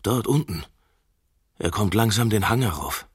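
An actor playing a weary, stubborn middle-aged husband in a radio drama, husky and creaky, terse and sardonic. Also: male voice, on the low side, expressive, measured pace, no room sound, studio quality. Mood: alarmed. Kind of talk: intimate modern radio drama dialogue.

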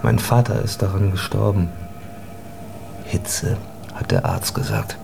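An odd, detached man in a naturalistic radio drama. His low voice crackles with heavy fry and he speaks in laconic, matter-of-fact bits. Clear vehicle noise runs behind him. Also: wildly swooping pitch, a little slow, heavily acted. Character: odd, detached stranger, a man waiting for a train. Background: vehicle noise and ambience.

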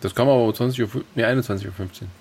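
Male podcast co-host, medium pitch, brisk analytical chat, slight noise behind. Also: a little mellow. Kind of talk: casual group discussion. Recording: faint background noise, room ambience.

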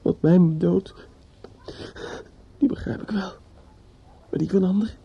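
Husky, distraught young man. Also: sits high, exaggerated voice. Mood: brooding. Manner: halting, stammering, repeats himself. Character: distraught, bitter young bridegroom-to-be, grieving and obsessive. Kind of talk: radio play acting. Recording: dull lo-fi radio play recording.

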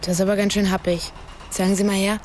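A woman's voice: mid-range voice, level tone, fast talker, a little mellow, a little hiss or hum, natural voice. An actress playing a curious female newcomer in a radio drama, asking short, direct questions. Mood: skeptical. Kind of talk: naturalistic radio drama acting.

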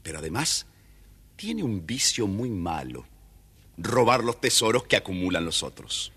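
A man's voice: fairly low pitch, sing-song, a little slow, pushed and bright, slight background noise. A man with an Argentine accent, narrating a children's story dramatically as the storyteller.

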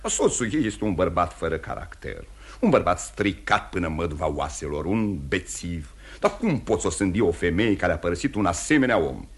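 A kindly older gentleman in a radio play. His voice is low and husky, with expressive swings, yet he stays measured and courteous as he gently admonishes. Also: exaggerated voice. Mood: reproachful, persuasive. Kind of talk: theatrical radio drama acting.

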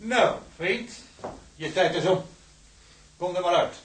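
A man's voice: high-pitched, slow pace, fairly bright tone, slight background noise. An actor playing a patient, fatherly prison warder in a radio drama, with a folksy, soothing manner. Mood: coaxing.

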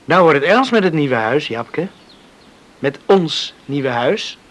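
Radio play: eager, tender young countryman; animated delivery, audible noise behind. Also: a little high, slow pace, full character voice. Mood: tender, caring. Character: eager, caring young countryman planning house and wedding. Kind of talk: Dutch radio drama acting.

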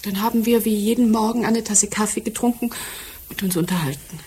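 An actress playing a composed, precise secretary in a German radio crime drama, speaking in a measured, polite way. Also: female voice, medium pitch, warm tone, slightly rough. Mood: factual, earnest. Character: composed, precise female secretary giving a statement.

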